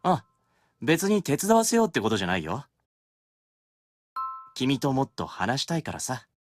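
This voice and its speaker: A relaxed young demon chatting fondly on a call in a game. The mid-pitched voice rises and falls expressively in a casual, intimate way, with slight noise and clicks in the recording. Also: male voice, fast talker. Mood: casual, inviting. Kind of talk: Japanese game voice acting, intimate phone monologue.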